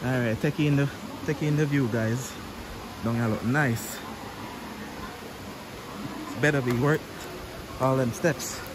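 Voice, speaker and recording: A man's voice: mid-range voice, fairly even intonation. A man with a Jamaican accent, chatting casually to viewers while hiking down to a waterfall and filming on a handheld camera. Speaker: vlogger. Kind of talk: casual travel vlog narration. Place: outdoors at a river waterfall. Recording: loud waterfall and outdoor noise. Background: rushing water, insects.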